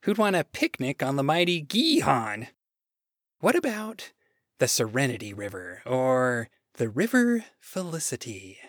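A man's voice: medium pitch, wildly swooping pitch, a little slow, fairly bright tone, no room sound, studio quality, heavily acted. A man voicing a defiant, critical, sardonic woman who complains in a casual way, in a storybook reading. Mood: scornful. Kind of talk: short story read aloud.

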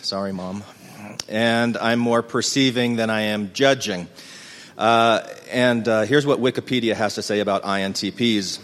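A man's voice: lowish voice, moderate pace, a bit bright, big-room sound. A man giving a casual conference talk, rambling with hesitant fillers and restarts in a self-deprecating way. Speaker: conference presenter. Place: conference room.

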